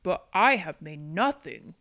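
A big, gentle bear from a children's storybook as a woman performs him. He is humble and a little downcast. The voice drops well below the reader's own and moves slowly, with sighs. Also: low voice, animated, pitched below the performer's own voice, heavily acted. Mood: touched, sighing. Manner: slow, sighing delivery.

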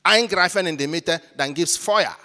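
A man's voice: a little high, normal speed. A middle-aged Nigerian man with an Igbo mother tongue, a priest speaking animatedly on a panel with a handheld mic in a large hall. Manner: animated, gesturing, switching into English phrases. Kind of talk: lively panel talk. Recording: reverberant hall.

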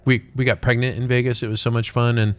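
A man in his forties hosting a casual interview, speaking in a relaxed, reflective way, on a boom mic in an empty restaurant. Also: fast, dry recording. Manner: relaxed, reflective, loose phrasing. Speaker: podcast host. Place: empty restaurant dining room. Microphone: studio mic on boom arm.

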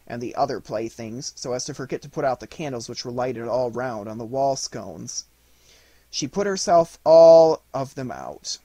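A man's voice: medium pitch, warm tone, no room sound, poor audio. A man reading an audiobook, giving scripted storybook narration. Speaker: audiobook reader.

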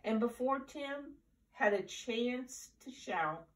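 An older woman in her seventies reading a picture book aloud to preschoolers in an animated, deliberate storyteller's manner, with drawn-out emphasis. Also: a little high. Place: living room, indoors. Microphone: camera a few feet away. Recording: roomy, distant camera microphone.

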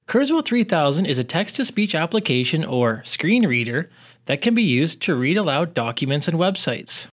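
Higher-pitched man narrating a tutorial. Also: big pitch swings, normal speed. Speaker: tutorial presenter, educational technologist.

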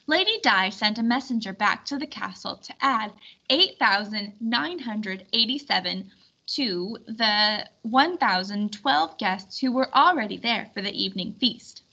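A woman reading a children's storybook aloud in an expressive, clearly instructional way. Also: mid-range voice, measured pace, muffled recording. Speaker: storybook reader.

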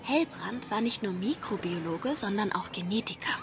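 An actress playing a curious, cheeky journalist in naturalistic radio-play dialogue, conversational in manner. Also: female voice, mid-range voice, animated, normal speed, creaky, background noise. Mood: teasing, matter-of-fact.

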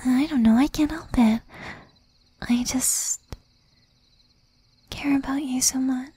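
A woman acting as a jealous, doting young demon girlfriend in a soft, breathy ASMR whisper. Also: high voice, exaggerated voice. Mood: shy, doting. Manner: slow, intimate, menacing yet doting. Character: jealous, possessive young female demon girlfriend, shy, tender.

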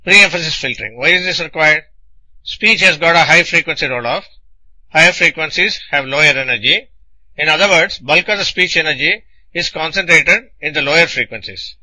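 A man narrating a scripted technical lecture in a deliberate, didactic manner, explaining things in short phrases. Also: fairly high pitch, bright, forceful tone, dry recording, poor audio.

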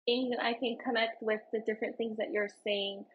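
A woman's voice: fairly high pitch, level tone, fairly quick, breathy edge. A woman from Hawaii, a co-host in a casual panel chat over a video call, telling stories earnestly. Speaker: podcast co-host. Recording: muffled lo-fi call audio.

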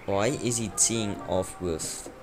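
A man's voice: fairly low pitch, slow. A young man, an Afrikaans speaker, giving rambling casual gameplay commentary. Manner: rambling, filler-heavy. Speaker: game youtuber. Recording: voice-over with loud game music.

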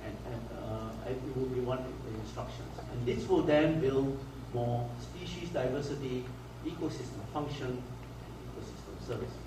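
A man from Malaysia giving a conference lecture over slides, speaking haltingly with many restarts and fillers. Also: medium pitch, background noise. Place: lecture hall. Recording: echoey hall recorded from far off.

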